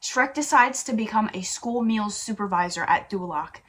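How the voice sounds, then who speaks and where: A woman's voice: mid-range voice, moderate pace, a bit bright. A woman hosting a comedic read-aloud, playful and mock-dramatic, narrating a story and dropping in her own asides. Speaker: YouTube host.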